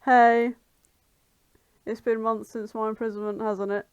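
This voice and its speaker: A woman's voice: fairly high pitch, little pitch movement, unhurried, clear, resonant tone, no echo, dull audio. A British woman co-hosting a podcast, chatting casually and informally about fan fiction, with some swearing. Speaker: podcast co-host.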